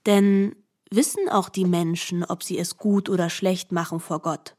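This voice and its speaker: A woman reading literary narration for radio, measured and articulate. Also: on the low side, fairly even intonation, darkish tone.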